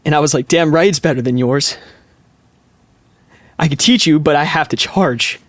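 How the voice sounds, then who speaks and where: A man playing an overdramatic actor deliberately reciting flirty pop lyrics as a mock dramatic reading. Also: a little high, no echo, lightly acted. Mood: mock serious. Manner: deliberate, theatrical.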